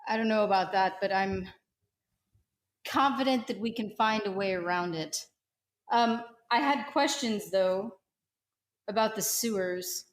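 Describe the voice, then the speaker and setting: Woman acting female rebel slicer, tabletop game, defiant, blunt, snarky, medium pitch. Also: measured pace, long pauses, lightly acted. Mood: serious, resolved. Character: defiant, hard-drinking female rebel slicer, gruff and loyal.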